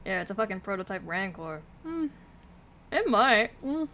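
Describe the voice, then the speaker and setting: A woman's voice: mid-range voice, big pitch swings, moderate pace, bright, forceful tone, slight background noise. A woman doing casual livestream commentary on a game, with sarcastic asides and swearing, sometimes reading dialogue aloud. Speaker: game streamer. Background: video game music.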